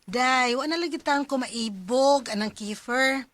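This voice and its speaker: An actress with a Filipino accent playing an expressive young best friend in a radio drama. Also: female voice, sits high, measured pace. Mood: teasing, chatty.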